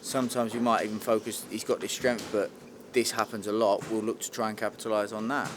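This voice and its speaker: Low-voiced coach, noisy setting. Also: male voice, level tone, a little fast. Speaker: boxing coach. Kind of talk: interview explanation of training and tactics. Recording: loud background noise, on location.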